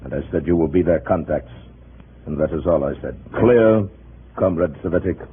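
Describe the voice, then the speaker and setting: A very deep-voiced, big and domineering communist boss, played by a male actor. He is cold and curt, giving orders as in a 1950s radio drama, on a narrow old recording with faint noise. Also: close to an everyday voice. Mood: stern, insistent. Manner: cold, curt, commanding, deliberate.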